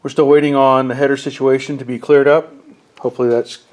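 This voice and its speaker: A man's voice: medium pitch, fast talker. A man in his fifties with a head cold, casually walking through his car build in his garage. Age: 50s. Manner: plain-spoken, relaxed, with fillers. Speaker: garage channel host. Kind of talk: casual workshop walkthrough. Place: home garage.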